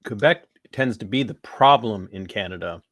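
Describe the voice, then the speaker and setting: An American man hosting a podcast, talking politics in a rambling, animated way with restarts and laughs. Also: lowish voice, moderate pace, no room sound. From United States. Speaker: podcast host. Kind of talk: casual political talk.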